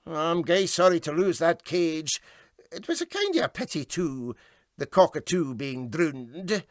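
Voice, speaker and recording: A man's voice: fairly high pitch, big pitch swings, unhurried, no echo, studio quality, voice pushed up. A man voicing an unsuspecting, mildly regretful Scottish farmer in a comic story, matter-of-fact. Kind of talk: comic storybook character reading.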